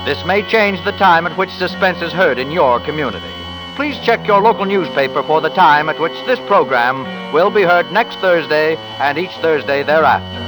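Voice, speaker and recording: A man's voice: on the high side, expressive, moderate pace, hardly any pauses, music in the background, low-quality recording. An American man announcing in a formal, polished 1940s radio style, selling for a sponsor on a vintage recording. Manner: formal, polished sales delivery.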